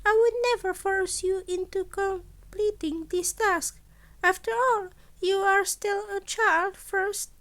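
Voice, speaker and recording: A small, clever animal headmaster as a woman narrator puts him on speaks in a very high voice. The delivery is formal and measured, with the calm of a calculating storybook mastermind. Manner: formal, measured, deliberate speech. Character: small genius animal school principal, calm, calculating, grinning.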